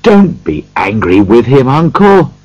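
Man playing kind-hearted young nephew, storybook character, raised bouncy pitch, hearty, earnest, exclamatory. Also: big pitch swings, unhurried, a little mellow, slightly rough, no room sound, dull audio, faint music behind. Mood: friendly, pleading.

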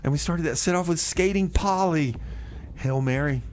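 A man hosting casual community radio with comedy banter, in a halting, rambling, easygoing way. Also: on the high side, a little mellow, a little hiss or hum. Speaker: radio show host and DJ.

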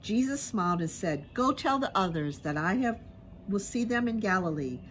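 A middle-aged woman tells a children's story like a preschool teacher, in simple, expressive phrases. Her voice sits at an average pitch and moves up and down in a lively way. She is recorded outdoors, with faint background noise. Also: moderate pace, low-quality recording. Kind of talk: reading a Bible story aloud to young children. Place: outdoors in a sunny grassy backyard. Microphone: camera a short distance away.

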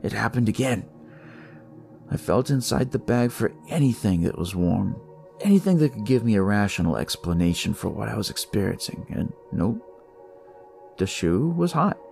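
A man acts out a detective narrating a dark audio story in a low, gravelly voice. He is theatrical yet conversational, recounting it all in the first person, with music playing behind him. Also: sing-song, moderate pace. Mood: uneasy, wry. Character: male detective recounting a sinister, eerie discovery.

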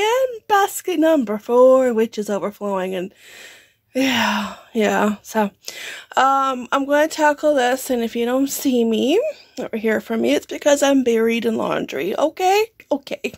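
A woman's voice: high voice, lively intonation, unhurried. A woman in her thirties chatting casually to camera at home as a lifestyle vlogger, laughing often as she talks through her chores. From Canada. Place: bedroom at home, indoors.

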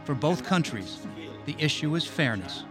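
A man's voice: a little high, sing-song, moderate pace. A man delivering scripted narration as the narrator of a documentary. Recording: music under the narration. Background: background music.

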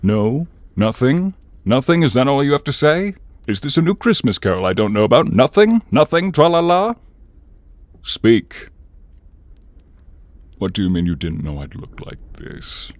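A man playing a tall horned demon in an ASMR roleplay, breathy and gravelly, menacing and theatrical with a comic edge. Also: medium pitch, big pitch swings, measured pace, pauses often, dry recording. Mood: exasperated, incredulous. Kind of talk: ASMR roleplay, slow dramatic delivery.

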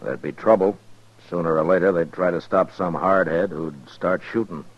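An actor playing a terse, principled frontier marshal in a 1950s radio western. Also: male voice, low voice, moderate pace. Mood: firm, concerned. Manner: terse, weighty, matter-of-fact. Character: steady, principled frontier US marshal, also narrating. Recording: dull 1950s radio recording with music.

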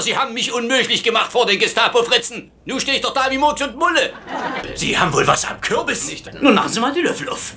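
Shrill, pompous German commandant. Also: male voice, bright, forceful tone, a little hiss or hum, heavily acted. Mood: blustering. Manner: pompous, whining, theatrical. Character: pompous, exasperated, blustering German camp commandant. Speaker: dubbing actor in a TV sitcom. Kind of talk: comic sitcom dub, slangy ranting.

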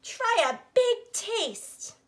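A woman's voice: very high-pitched, very animated, extremely slow, a bit bright, pitched above the performer's own voice, exaggerated voice. A woman voicing an eager, proud little girl pig in a storybook read-aloud, gushing and emphatic. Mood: excited.

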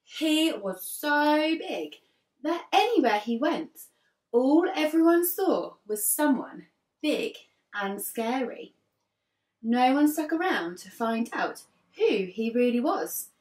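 A woman with a fairly high, very lively voice reads a picture book to young children. She phrases things dramatically, leaving deliberate pauses as a teacher would. The sound is roomy, picked up from across a living room. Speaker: infant school teacher reading aloud. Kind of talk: expressive children's storybook reading. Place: living room sofa, indoors. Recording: roomy, distant mic, faint music behind.

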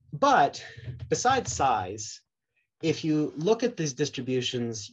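A man's voice: mid-range voice, slightly rough. Man, academic keynote, video call, muffled lo-fi sound, lots of ums and restarts. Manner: thinking aloud with many fillers and restarts. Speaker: keynote speaker. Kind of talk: academic conference talk over slides. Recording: dull lo-fi video call audio.

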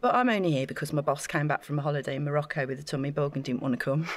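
A woman's voice: low voice, rapid-fire. An actress playing an eager, self-effacing young woman in a naturalistic audio drama, talking in a chatty, gushing way. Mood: nervously confiding.

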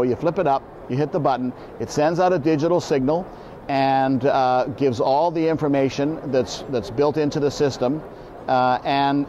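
A man, explaining things informally as an expert interview guest in a halting way, repeating words. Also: mid-range voice, warm tone. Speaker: boating program manager, expert guest. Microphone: lapel mic. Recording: slightly dull, faint background noise.

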